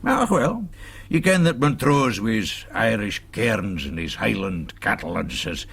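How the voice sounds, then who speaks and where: Older scottish gent, lowish voice, swoops about, wry and genial, radio play. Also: male voice, gravelly, slightly rough, full character voice. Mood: wry, confiding. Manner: animated, wry, measured phrasing. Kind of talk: radio drama dialogue.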